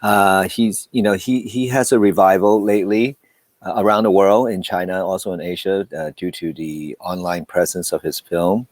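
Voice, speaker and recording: Low-voiced middle-aged man, halting storyteller. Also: steady tone, darkish tone, no room sound. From Hong Kong, Taiwan and Southern California. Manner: halting, repeats words and trails into asides. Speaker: podcast guest, film producer. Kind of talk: casual remote interview, telling life story.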